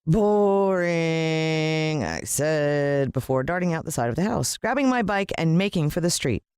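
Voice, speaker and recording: An American woman narrating a children's story in an expressive, dramatic storytelling manner. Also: sits low, normal speed, clean tone, dry recording, clean studio sound. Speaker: audiobook narrator.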